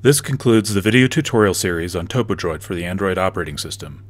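A man giving a steady, methodical, scripted narration for a software tutorial. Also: deep voice, fast talker.

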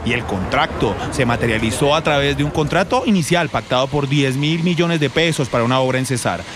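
A man's voice: medium pitch, a little fast, noisy background. A man narrating a news report as a reporter's voice-over.

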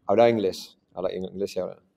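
A man in his forties with a Madrid Spanish accent, telling his story as an interview guest on a video call, relaxed and full of restarts. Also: sits low, fast talker, creaky. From Iran, raised in Madrid. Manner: relaxed, many restarts and fillers. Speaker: startup founder guest. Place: in front of a green screen, indoors.

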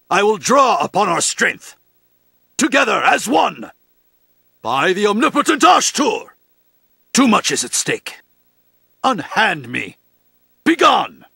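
An actor playing a proud, middle-aged sorcerer superhero in a video game, with pompous, formal, declamatory delivery and a gravelly voice. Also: male voice, high-pitched, sharp tone, no room sound, exaggerated voice. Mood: commanding, battle-ready. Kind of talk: theatrical video game voice lines.

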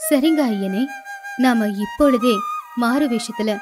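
A woman voicing a gentle, gracious goddess in a storybook cartoon, speaking in a soft, deferential way. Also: a little high, takes it slowly. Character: gracious divine goddess wife, gentle and curious. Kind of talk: animated storybook voice acting. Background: flute music.

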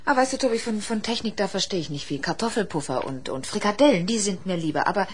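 A woman playing a kindly, curious aunt in a children's radio play, chatty and halting, repeating her words indulgently. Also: mid-range voice, expressive, slightly rough. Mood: curious, puzzled. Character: kindly, curious, homely aunt of a young boy. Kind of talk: 1970s German children's radio play acting.

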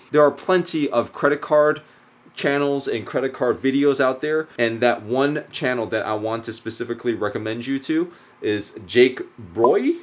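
A young man in his twenties whose first language is not English chats to a camera held close to him at home. He is excitable and slangy, and his pitch sits in the middle for a man. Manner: excitable, slangy, says "dude" and "freaking" a lot. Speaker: vlogger. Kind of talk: casual vlog to camera. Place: car, then kitchen, then living room, indoors.

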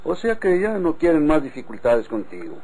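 A man's voice: fairly high pitch, huge pitch swings, moderate pace, mellow, slightly rough, exaggerated voice, rough recording. An actor playing a reasonable, conciliatory rural man with a Mexican accent in an old radio drama. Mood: reasoning.

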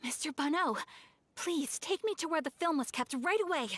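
A woman's voice: sits high, lively intonation, moderate pace, dry recording. An actress voicing a graceful, polite young noblewoman in a video game. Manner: gentle, formal.

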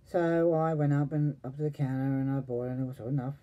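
A man in his forties casually reviewing a toy at home, hesitant and often trailing off quietly, recorded on a webcam. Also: medium pitch, fairly even intonation, fairly quick, lo-fi sound. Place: home room full of toys and posters.

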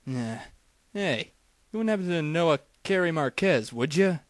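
A man plays a cocky cartoon detective for hire. His voice sits a little high and is recorded close and dry, with every line delivered as a flippant, deadpan wisecrack. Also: moderate pace, no echo, close to an everyday voice. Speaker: voice actor in a fan-made anime parody dub. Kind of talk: deadpan parody dub acting.

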